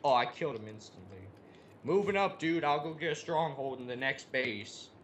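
A man's voice: medium pitch, animated, moderate pace, fairly bright tone, a little hiss or hum. A young man chatting casually and crudely with a friend as they play a video game together. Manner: crude, profane, joking. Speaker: gamer in co-op let's play. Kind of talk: casual gaming chat with a friend.

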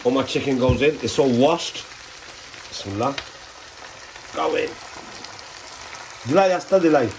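A man's voice: mid-range voice, animated, measured pace, creaky, pauses often, a little mellow. Chatty middle-aged man cooking in his kitchen. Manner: chatty, thinks aloud, jokes and asks himself questions. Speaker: vlogger cooking at home. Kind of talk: casual chatty cooking narration. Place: home kitchen, indoors. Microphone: camera over his shoulder, moving around the counter. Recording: faint background noise, frying sounds.

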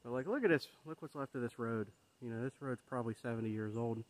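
A man's voice: medium pitch, fast talker, a little hiss or hum, low-quality recording. A man in his thirties walking a wooded trail, filming himself at arm's length and talking in a rambling, reflective way with many fillers. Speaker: vlogger. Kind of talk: casual walking vlog. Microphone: phone or camera held at arm's length.

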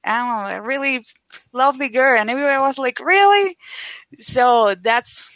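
A woman whose first language is Spanish, a guest on a live video call chatting casually about her work, with lots of "like" fillers and lively retelling. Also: high-pitched, normal speed, dry recording. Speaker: guest, affiliate marketer. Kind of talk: casual interview chat.

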